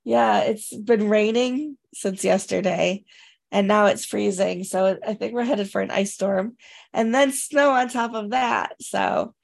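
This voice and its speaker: Raspy, congested woman chatting. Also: medium pitch, measured pace. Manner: chatty, rambling, with many restarts and fillers. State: hoarse and congested after illness. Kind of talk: casual chat.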